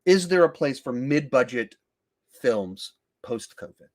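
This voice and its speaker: A man's voice: medium pitch, animated, unhurried. A man, hosting a podcast and talking film in a casual, conversational style. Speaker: podcast host.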